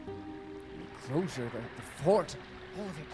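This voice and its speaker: Casting: appalled man of reason, mid pitch with big swings, halting and slow, live stage with loud music. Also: sing-song. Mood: dazed, horrified. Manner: exclamatory, stammering in distress. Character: alarmed, rational, indignant gentleman. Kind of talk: live radio drama acting.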